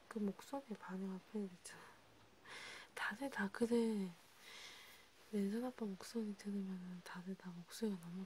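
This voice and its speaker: A woman speaking in a hushed, rambling ASMR style, half whispering, as if chatting one-to-one with a listener. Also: mid-range voice, a little hiss or hum. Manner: hushed, rambling, intimate one-sided conversation. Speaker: ASMR creator. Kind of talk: soft ASMR roleplay chat, partly whispered.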